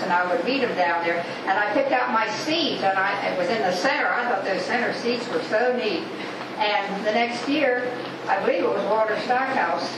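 An older woman casually reminiscing about her childhood, speaking as a member of an audience. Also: mid-range voice. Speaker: audience member sharing memories. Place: echoey space, away from the microphone. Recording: very echoey, distant, loud background noise.

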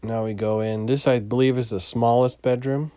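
A man giving a casual, unscripted property walkthrough as a landlord, in a matter-of-fact, halting way that trails off. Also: medium pitch, fairly even intonation, dark tone, clean tone, dry recording. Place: inside an empty mobile home, starting on the porch.

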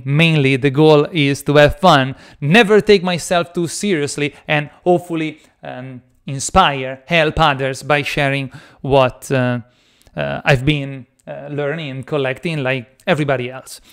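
A man in his thirties with an Italian accent, whose first language is Italian, vlogs about personal growth from a small home studio. His voice sits a bit high for a man. He speaks close to a studio mic with animated gestures, often pausing on ums and restarting his sentences. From Italy. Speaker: vlogger. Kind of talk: casual personal-development vlog. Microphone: large studio mic on boom arm.

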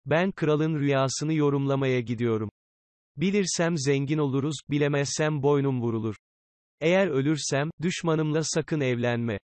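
A man's voice: a little high, normal speed, darkish tone, dry recording, natural voice. Man acting pleading poor villager, dry close sound. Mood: resigned, resolute. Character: poor, anxious married villager, bargaining humbly with a king. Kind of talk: cartoon fairy-tale dialogue.

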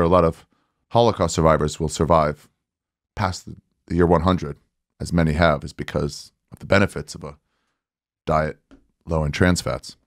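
A man in his forties with a fairly low voice, rattling through comedic material. He sounds sarcastic and exasperated, recorded dry and close on a studio microphone. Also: darkish tone, dry recording. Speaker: guest comedian on a podcast. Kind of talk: casual comedic monologue read aloud. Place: small podcast studio, indoors. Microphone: close studio mic with headset.

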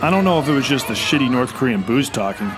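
A man narrates a vlog in a scripted voice-over, and his tone is wry and sarcastic. His voice sits at a medium pitch. Loud music, with guitar in it, plays under his narration. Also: expressive, fast talker, a loud crowd. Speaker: vlogger, host.

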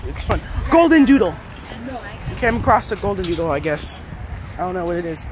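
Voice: medium pitch, big pitch swings, a little fast. A vlogger chatting off the cuff in a slangy, musing way and talking to dogs, recorded on a handheld phone outdoors. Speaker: vlogger filming own dog outing. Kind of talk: casual off-the-cuff vlog chat. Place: outdoor dog park. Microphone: handheld phone behind the camera. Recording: noisy open-air park with bystander chatter.

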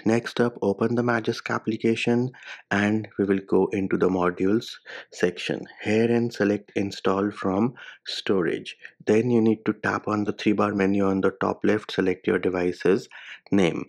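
A man hosting a step-by-step phone tutorial voice-over in a measured, instructional manner. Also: lowish voice, gravelly. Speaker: tech tutorial host.

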